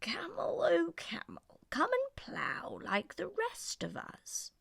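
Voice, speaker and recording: A woman voicing a slow, plodding ox in a children's storybook. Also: extremely high pitch, wildly swooping pitch, vocal fry, fairly bright tone, no echo, in a whisper, voice pushed up, exaggerated voice. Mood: pleading.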